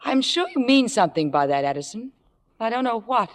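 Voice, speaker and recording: An actress playing an ambitious, scheming young stage star in an old radio drama, speaking theatrically with a poised surface. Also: female voice, on the high side, very animated, a little fast, long reverb tail. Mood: guarded, indignant. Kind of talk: 1950s radio drama acting.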